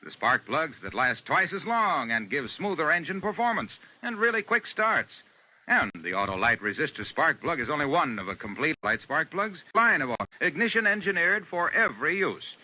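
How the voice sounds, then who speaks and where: An American man working as a commercial announcer, delivering a scripted, emphatic and punchy sales pitch in the style of 1950s radio. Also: big pitch swings, moderate pace. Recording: muffled vintage radio recording.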